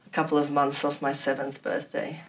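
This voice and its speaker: A woman's voice: low-pitched, little pitch movement, fairly quick. A woman from Poland who grew up in Australia, answering interview questions with personal recollections. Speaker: interview guest. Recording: distant from the microphone.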